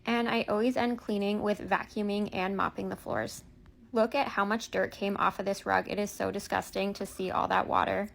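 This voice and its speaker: A woman speaks in a casual voice-over for a home organizing video. Her pitch sits in a middle range, with a strong creaky fry running through her speech. The delivery is everyday and conversational. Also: steady tone, moderate pace.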